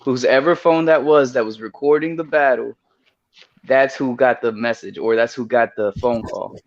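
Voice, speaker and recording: A man's voice: mid-range voice, moderate pace. A man with a stuffy, nasal voice from a cold, chatting casually on a podcast in a laid-back, slangy way. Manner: laid-back slangy talk with easy laughs. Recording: muffled with faint hiss.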